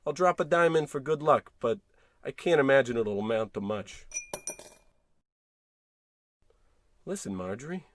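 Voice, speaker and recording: A man as an animated, fretful radio-play husband. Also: mid-range voice, fairly quick, a little hiss or hum, close to an everyday voice. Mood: bewildered. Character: excitable, fretful husband in an old radio comedy. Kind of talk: 1940s radio acting.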